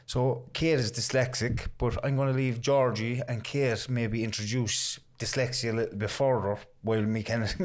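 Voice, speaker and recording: A middle-aged Irish man hosting a podcast, easygoing and chatty with casual asides. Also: mid-range voice. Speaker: podcast host.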